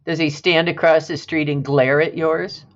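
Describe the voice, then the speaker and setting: Relaxed female co-host with dry wit, dull remote audio. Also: deep voice, normal speed. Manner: relaxed, brief backchannels and dry remarks. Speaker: podcast co-host. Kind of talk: casual podcast chat.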